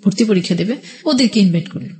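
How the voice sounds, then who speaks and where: A middle-aged woman with a Bangladeshi accent speaks as a guest on a radio interview. Her voice sits at an ordinary pitch. She narrates her own life in a conversational way, relaying past exchanges in quoted speech. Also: lively intonation, rapid-fire, a little mellow. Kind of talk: personal storytelling in a radio interview.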